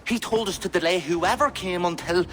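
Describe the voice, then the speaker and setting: A man's voice: high voice, normal speed, fairly bright tone, a little hiss or hum. An actor playing a blunt, scolding captive male king. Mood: gruff.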